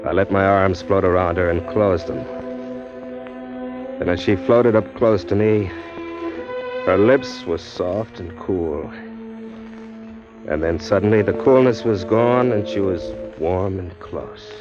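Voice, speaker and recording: A wisecracking hard-boiled drifter narrating a radio play; deep voice, dull vintage sound. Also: male voice, slow pace, long pauses, music in the background. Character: tough young male drifter, wisecracking, smitten. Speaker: narrator and lead. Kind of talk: 1940s radio acting. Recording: muffled 1940s radio broadcast.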